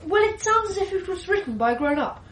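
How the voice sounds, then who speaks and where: A woman's voice: extremely high pitch, very animated, quick pace, reverberant. A woman playing an eager, modest young English schoolboy who admires his friend, in a radio drama. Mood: admiring. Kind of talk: 1980s radio drama acting.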